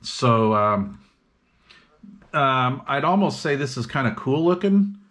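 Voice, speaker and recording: A man's voice: mid-range voice, big pitch swings, takes it slowly, a bit bright. A man chatting casually and thinking aloud in slangy, unscripted talk as he bench-tests radio gear. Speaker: hobbyist host demonstrating and testing an old CB radio. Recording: noisy, radio static and chatter behind. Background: CB radio receiver hiss and chatter.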